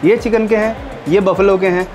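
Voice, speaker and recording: A man's voice: high-pitched, steady tone, normal speed. A middle-aged man chatting enthusiastically as he tastes food on a casual vlog, speaking into a lapel mic in a small eatery. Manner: enthusiastic, chatty, tasting and commenting. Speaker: food vlogger. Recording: background music under voice.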